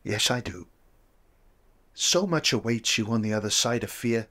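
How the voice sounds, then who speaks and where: An actor playing a calm older mentor who gently encourages a young woman to chase her dream. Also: on the low side, moderate pace. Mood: encouraging.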